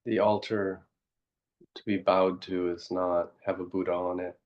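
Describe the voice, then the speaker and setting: A man leading a guided meditation as a teacher, speaking reflectively in short, unhurried phrases and posing gentle questions. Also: low voice, little pitch movement, dull audio.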